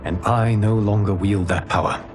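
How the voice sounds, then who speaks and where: Man as a low-voiced, commanding leader. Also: steady tone, normal speed, music in the background, close to an everyday voice. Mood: sober, doubtful. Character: sober, commanding male leader, a former god.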